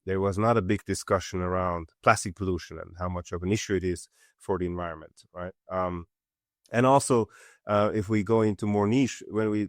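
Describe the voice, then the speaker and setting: A middle-aged man from Switzerland, a company co-founder, answering interview questions in an earnest, halting way with many fillers. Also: lowish voice, dry recording, studio quality. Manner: earnest, many fillers and restarts, steady explanation. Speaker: company co-founder and CEO, guest.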